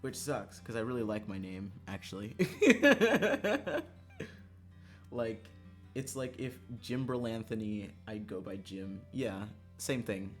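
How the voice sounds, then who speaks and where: A livestreamer chatting casually to viewers in an animated, exasperated way, swearing and laughing often. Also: medium pitch, slow, faint music behind. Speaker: livestreamer, gaming streamer.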